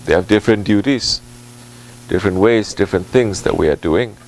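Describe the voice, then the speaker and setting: Man giving a deliberate, halting spiritual talk. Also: on the low side, darkish tone, a little hiss or hum, poor audio. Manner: deliberate, halting phrasing with repetition for emphasis; Arabic and Turkish religious phrases mixed into English. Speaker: Sufi religious teacher at a dargah. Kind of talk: informal sermon answering a question.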